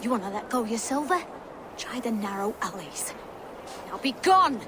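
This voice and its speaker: Brusque, high-voiced market trader. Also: female voice, big pitch swings, moderate pace, heavy background noise. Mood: wary, brusque. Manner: curt, scolding warnings. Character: wary, brusque market trader woman in Viking-age town. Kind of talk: video game dialogue acting.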